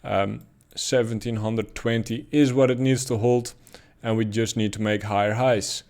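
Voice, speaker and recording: A man's voice: lowish voice, steady tone, normal speed, darkish tone. A man with a Dutch accent giving casual, thinking-aloud commentary on price charts, with frequent ums and uhs. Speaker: crypto chart analyst.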